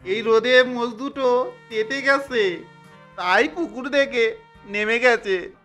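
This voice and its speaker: A humble rustic cart driver, played by an actor in a cartoon, speaking slowly with a deferential, pleading manner over music. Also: medium pitch, a bit bright. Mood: defensive pleading. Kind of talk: cartoon voice acting.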